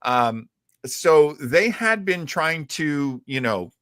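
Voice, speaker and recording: A man hosting a talk show, explaining things in a halting, thoughtful, conversational way. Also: on the high side, big pitch swings, a bit bright, dry recording, clean studio sound. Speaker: host of a retro radio show.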